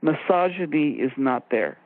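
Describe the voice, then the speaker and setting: A woman's voice: sits low, lively intonation, a little slow, no echo. Woman on a tinny, dry phone line. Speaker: radio show co-host and expert. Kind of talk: explanatory radio discussion.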